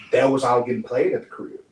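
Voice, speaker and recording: A man's voice: mid-range voice, fairly even intonation, a little fast. Laid-back young man from Dallas. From Dallas, Texas. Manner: laid-back, slangy, rambling with filler phrases. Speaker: rapper, podcast guest. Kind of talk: relaxed interview chat. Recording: echoey room, mic far off, faint hum.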